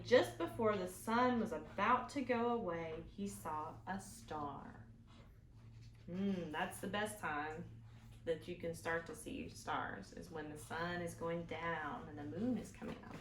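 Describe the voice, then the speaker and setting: Animated woman reading stories to kids. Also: medium pitch. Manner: expressive, explains and asks questions to children. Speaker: children's librarian, storytime host. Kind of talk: read-aloud storytime for young children. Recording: roomy echo, some background noise.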